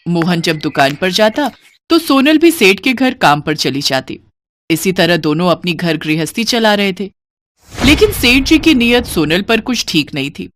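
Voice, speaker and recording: A woman's voice: mid-range voice, big pitch swings, a little slow, fairly bright tone, a little hiss or hum. A woman narrating a scripted cartoon story as the storyteller.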